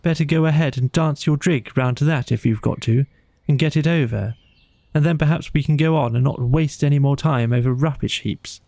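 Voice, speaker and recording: A man's voice: a little high, moderate pace, gravelly, mellow, no room sound. A man voicing an earnest, wordy mole in a children's storybook, with emphatic, rambling speech. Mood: sarcastic, exasperated. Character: grumbling, naive, wordy male mole. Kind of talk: animal character in a children's storybook.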